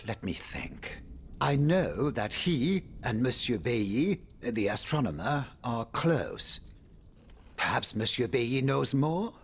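A man's voice: mid-range voice, sing-song, slow pace, slight background noise. An actor playing an urbane, worried, wry French nobleman in a video game, with a courtly, theatrical manner that moves between exclamation and confiding murmur. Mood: worried, musing. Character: urbane male French aristocrat, worried, wry, world-weary. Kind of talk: dramatic video game dialogue.

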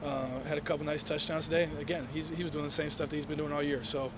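A young man, a college quarterback, answering reporters' questions after a game, measured and full of "you know"s, into handheld mics indoors. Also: a little high, little pitch movement, noisy background. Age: young adult. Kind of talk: post-game press interview. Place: indoor hallway before a sponsor backdrop. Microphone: handheld reporter mics and phones held toward him.

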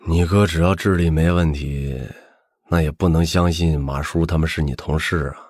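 A man's voice: rumbling low, normal speed, no room sound, clean studio sound. An actor playing a fawning, streetwise young man who jokes cheekily in an audiobook drama. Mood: teasing. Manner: cheeky, flattering.

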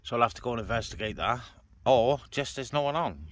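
A man's voice: medium pitch, lively intonation, a little fast, a bit bright. Chatty man vlogging outdoors, noisy recording. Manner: informal, colloquial, chatty. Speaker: vlogger and CB radio hobbyist. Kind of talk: casual outdoor vlog chat with radio calls. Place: outdoors on open, breezy moorland beside a car. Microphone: handheld phone or camera, operator behind it. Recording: noisy outdoor recording, vehicle and ambient noise.

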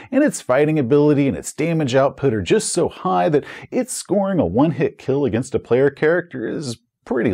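A man's voice: medium pitch, darkish tone. An American man in his forties giving a tabletop game review, conversational and emphatic, telling it like a storyteller. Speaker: tabletop RPG reviewer and host. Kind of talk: scripted review commentary with game-master anecdotes. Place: small indoor room with bookshelves and curtains. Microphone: lapel mic.

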